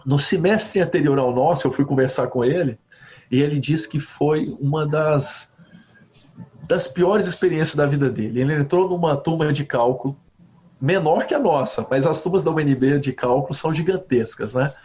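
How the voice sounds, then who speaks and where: A man from Brazil, a university professor, answering interview questions with animated stories, often ending phrases with a questioning tag. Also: fairly high pitch, normal speed. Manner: animated storytelling with frequent "né?" tags. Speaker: guest professor. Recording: slightly dull lo-fi audio.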